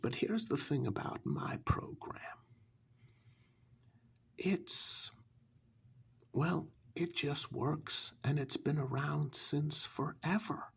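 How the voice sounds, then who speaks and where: A man playing a smug, sinister get-rich-quick pitchman in a soft, husky, halting ASMR parody infomercial. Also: exaggerated voice. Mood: calm, sly menace. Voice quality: husky, breathy, gravelly. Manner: halting, smug, casually menacing, sweary.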